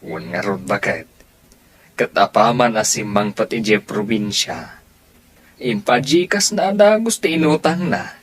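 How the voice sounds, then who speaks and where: A man voicing a village neighbor who chats slowly about others' troubles. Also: fairly low pitch, animated. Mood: gossiping.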